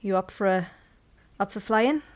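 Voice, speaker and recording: A woman's voice: mid-range voice, normal speed. A woman playing a roleplaying game, improvising third-person narration and bits of dialogue.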